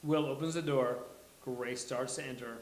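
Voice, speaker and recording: A man presenting a live table read in a halting, informal way, as in casual chat. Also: mid-range voice, fairly even intonation. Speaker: table read presenter reading stage directions. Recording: echoey room.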